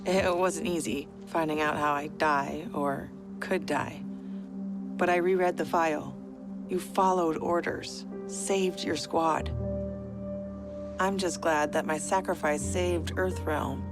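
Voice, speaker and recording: A woman's voice: deep voice, fairly even intonation, slow, over music. An actress voicing a tough, hard-edged military mother with a dry manner in a video game cutscene. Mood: sincere, proud.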